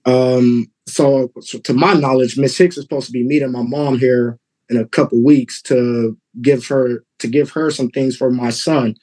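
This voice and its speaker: A man in his thirties from Texas, answering a judge's questions in court politely and haltingly. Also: medium pitch, fairly even intonation, warm tone, poor audio. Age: 30s. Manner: polite, halting, many fillers. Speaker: litigant father.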